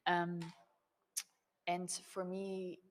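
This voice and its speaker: A young woman whose first language is Dutch, speaking thoughtfully in an interview about her design work and pausing to find her words. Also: on the low side, completely flat delivery. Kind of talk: relaxed conversational interview.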